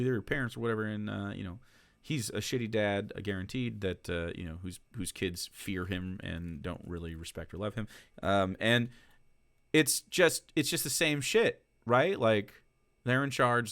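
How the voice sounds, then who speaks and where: A male streamer reacts to clips in casual commentary, sarcastic and profane, often laughing between remarks. His voice sits at a middle pitch and is recorded dry and close. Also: fairly bright tone, dry recording.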